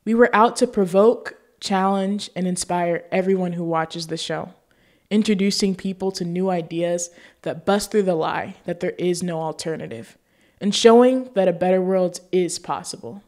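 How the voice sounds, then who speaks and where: A woman hosting a show, reading scripted narration and appeals to viewers in an earnest, deliberate way. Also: lowish voice, a little mellow. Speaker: show host.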